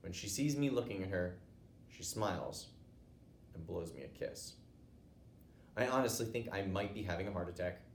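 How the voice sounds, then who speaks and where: A man's voice: fairly low pitch, a little mellow. An American man narrating a children's storybook, reading aloud as a teacher would. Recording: roomy echo, noticeable background noise.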